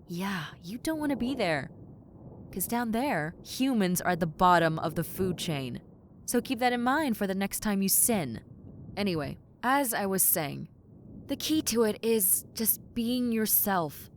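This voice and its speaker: A woman's voice: medium pitch, big pitch swings, a little slow, slight background noise. A woman voicing a brash tomboy succubus in a roleplay audio, sarcastic, incredulous and casually blunt. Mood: frank, advising. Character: brash tomboy succubus demon, blunt, sardonic, reluctantly helpful. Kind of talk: roleplay audio acting, conversational.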